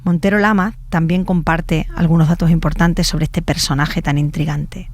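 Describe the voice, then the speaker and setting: A woman's voice: low voice, fairly even intonation, moderate pace. A woman with an accent from Spain, hosting a podcast with scripted documentary narration. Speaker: podcast host, journalist.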